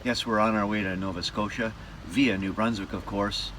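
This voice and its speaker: Low-voiced man with noisy background. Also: fairly even intonation, normal speed. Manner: wry, joking asides. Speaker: vlog host and travelling musician. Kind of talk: casual travel documentary narration.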